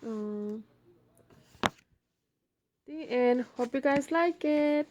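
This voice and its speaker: A woman's voice: sits high, extremely slow, highs cut off, noisy background. A woman hosting a children's read-aloud, narrating expressively and speaking to young listeners. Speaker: storybook reader, video host.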